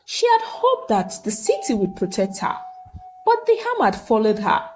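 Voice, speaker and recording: High-pitched, very animated woman storyteller. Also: low-quality recording. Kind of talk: scripted folktale narration.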